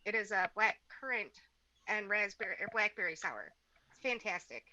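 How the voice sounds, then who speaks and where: Very bright-voiced woman co-host. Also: mid-range voice, animated, moderate pace. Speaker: podcast co-host. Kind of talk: casual chat.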